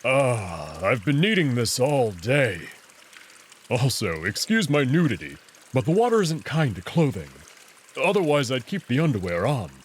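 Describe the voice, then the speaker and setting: A man plays a big male wolf prince from a furry visual novel. He speaks slowly, with hugely swinging pitch, teasing and commanding by turns. Water noise runs behind the voice. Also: very animated. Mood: relaxed. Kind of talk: visual novel character acting.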